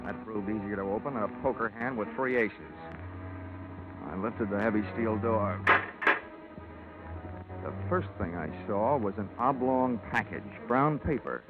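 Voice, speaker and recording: Wry hard-boiled investigator, tinny recording. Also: male voice, lowish voice, a little slow, pauses often. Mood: narrating, brisk. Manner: dry, sardonic, hard-boiled. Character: wry, tough, self-assured male insurance investigator. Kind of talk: hard-boiled radio narration and dialogue. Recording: tinny old radio recording with music.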